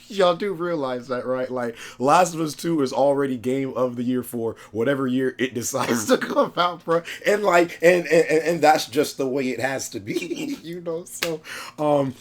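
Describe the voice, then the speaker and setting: A man with a cold, his voice hoarse, chatting excitedly about a video game on his channel, slangy and laughing often. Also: mid-range voice. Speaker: gaming YouTuber. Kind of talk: casual gaming chat.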